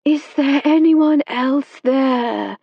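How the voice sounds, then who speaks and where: A woman's voice: drawn-out words, creaky, no echo, muffled recording, full character voice. Woman playing a theatrical séance medium. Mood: entranced. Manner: high, faraway, dramatic calls. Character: theatrical female spirit medium, commanding.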